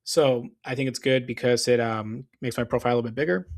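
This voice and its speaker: Guy talking through a screen share, voice going a bit hoarse, keeps clearing his throat, laid-back and full of ums. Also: medium pitch, fast. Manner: casual, explanatory, frequent fillers and restarts. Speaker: SEO business owner.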